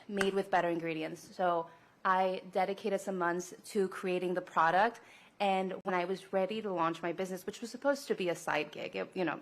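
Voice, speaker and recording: A woman from Mexico telling her personal story as a business founder in public testimony, informal and enthusiastic. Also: lowish voice. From Monterrey, Mexico.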